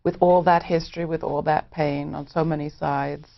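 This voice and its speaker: Female host, conversational questioner. Slightly low pitch, dull recording with limited clarity. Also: level tone, normal speed, gravelly. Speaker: interviewer and host. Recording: dull, lo-fi interview audio.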